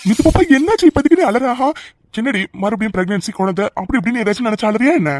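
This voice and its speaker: A man's voice: sits high, expressive, racing, a little hiss or hum. A young man voicing a doting, protective husband who coaxes and pleads affectionately. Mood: consoling. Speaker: voice actor. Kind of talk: audio drama acting.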